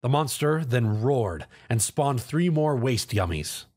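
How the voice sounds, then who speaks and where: A man's voice: medium pitch, expressive, slow, a little mellow, husky. A man reading scripted narration of an action story.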